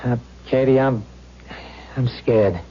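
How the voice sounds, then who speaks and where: An actor playing an anxious, haunted policeman in a 1970s radio drama, halting and stammering with intensity. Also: medium pitch. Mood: frightened. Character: anxious, haunted male policeman confiding in wife. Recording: dull vintage radio recording.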